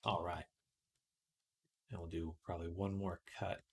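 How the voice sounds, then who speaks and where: A man's voice: sits low, little pitch movement, unhurried. A man hosting a livestream in casual tutorial style, muttering to himself as he works and chatting with viewers. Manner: mutters to himself, then addresses viewers brightly. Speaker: livestream host, 3D artist. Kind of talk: casual livestream tutorial chat while working. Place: indoors at a computer desk.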